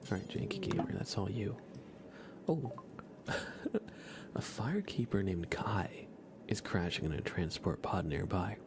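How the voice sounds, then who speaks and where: Soft, low-pitched ASMR streamer man. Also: very animated, measured pace, pauses often, noisy background. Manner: soft-spoken, often dropping into a whisper. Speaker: livestream host. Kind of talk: relaxed ASMR gaming stream chat.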